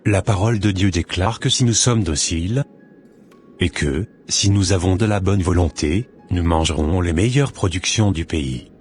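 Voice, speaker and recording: Man voicing a deep-voiced preaching church brother. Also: soft background music, natural voice. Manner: slow, sermonizing, gently admonishing. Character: Brother David, a calm preaching church elder.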